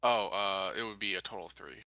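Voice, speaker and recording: A man's voice: low-pitched, fairly quick, sharp tone, dry recording. A man playing a tabletop game, casually planning moves aloud.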